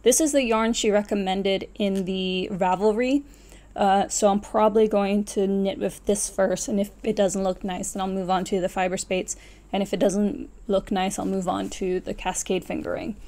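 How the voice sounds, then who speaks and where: Mellow-voiced young woman chatting to camera. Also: mid-range voice, fairly even intonation, normal speed. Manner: rambling, talks to herself and self-corrects. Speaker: knitting vlogger. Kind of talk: casual chatty yarn haul to camera. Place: small furnished room at home, indoors.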